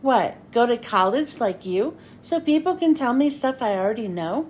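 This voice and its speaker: A woman's voice: fairly high pitch, animated, normal speed, a little mellow, slight background noise, close to an everyday voice. A woman voicing a cocky, eager teenage boy inventor from a storybook, brash and casual. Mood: dismissive.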